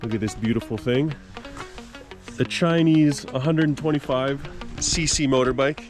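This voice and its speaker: A man's voice: mid-range voice, measured pace, faint music behind. A man from Canada narrating a casual travel vlog outdoors on a mountain dirt road, chatty and upbeat, with frequent "uh" fillers. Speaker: travel vlogger.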